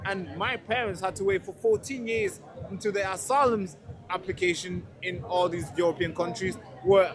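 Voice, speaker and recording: A man's voice: sits high, fairly even intonation, moderate pace, a crowd in the background. A man born in a refugee camp in Tanzania, interviewing people at an outdoor protest in a friendly, questioning way. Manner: friendly, questioning, with fillers and restarts. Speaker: street interviewer. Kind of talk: casual street interview.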